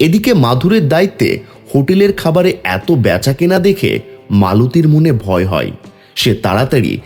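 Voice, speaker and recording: Male narrator, middle pitch, very varied intonation, cartoon storytelling, music behind. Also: wildly swooping pitch, moderate pace, a little mellow.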